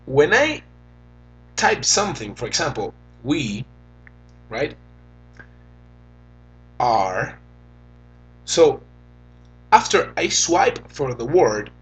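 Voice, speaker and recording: Husky, slow Venezuelan-accented man. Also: medium pitch, sing-song, a bit bright, poor audio. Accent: Venezuelan Spanish. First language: Spanish. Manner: slow, halting, thinks aloud while typing. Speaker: tech reviewer. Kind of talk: casual phone review demo.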